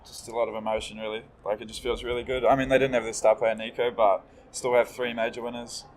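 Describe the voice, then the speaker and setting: A young man from New Zealand with a New Zealand accent, a player answering interview questions in a relaxed, agreeable way. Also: medium pitch, slight background noise, low-quality recording. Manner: laid-back, quick, agreeable. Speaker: esports player. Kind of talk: casual interview.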